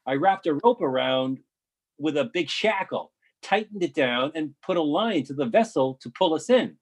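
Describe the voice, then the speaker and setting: A man playing a husky boat owner. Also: a little high, expressive, moderate pace. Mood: practical. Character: boat owner securing his vessel. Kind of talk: readers theatre monologue.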